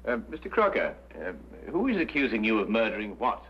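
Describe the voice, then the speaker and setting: A mild-mannered English gentleman detective in a vintage drama. His intonation is lively, his manner courteous and a little bewildered. The sound is dull and dated. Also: on the low side, fairly quick, slight background noise. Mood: baffled. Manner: polite, hesitant, bemused. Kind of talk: old-time detective drama acting. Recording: dull old broadcast recording.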